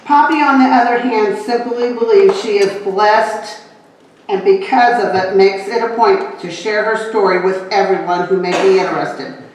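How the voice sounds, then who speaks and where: Woman introducing a speaker, steady and formal, echoey church hall, mic at a distance. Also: medium pitch, normal speed, a little hiss or hum. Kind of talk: reading a prepared introduction.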